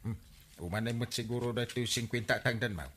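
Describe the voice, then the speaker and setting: A man's voice: mid-range voice, quick pace, a bit bright, close to an everyday voice. A man playing a bossy, thrifty uncle handing out errands in a comedy radio drama.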